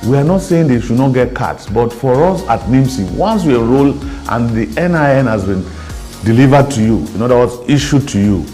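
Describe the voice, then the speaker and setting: A man's voice: medium pitch, animated, brisk, dark tone, faint music behind. A man with a Nigerian accent explaining emphatically in an interview, as an official spokesperson who repeats his points.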